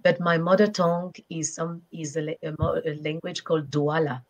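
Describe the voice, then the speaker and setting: Middle-aged woman from Cameroon, English; low-pitched, warm, searches for words; webcam call. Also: little pitch movement, moderate pace. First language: French. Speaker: guest. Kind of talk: casual video call interview. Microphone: video call webcam.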